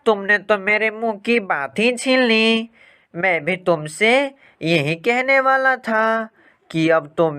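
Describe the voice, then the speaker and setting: An eager, helpful parrot friend from a cartoon fable, played by a man, offers advice. The voice is clear and deliberate, recorded dry and close. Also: high voice, sharp tone, dry recording, heavily acted.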